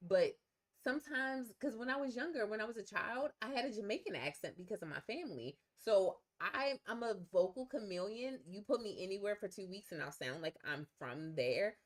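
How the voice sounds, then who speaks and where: A woman with a Baltimore accent, co-hosting a casual live stream chat, animated and chatty. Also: medium pitch, moderate pace. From Baltimore, USA. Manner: animated, chatty, laughs easily. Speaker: co-host.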